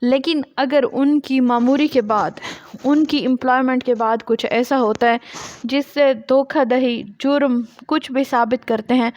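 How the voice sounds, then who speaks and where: A woman's voice: on the high side, highs cut off. A woman giving a law lesson, reading out formal text and explaining it by posing and answering her own questions. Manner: explanatory, poses questions and then answers them. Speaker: law lecturer.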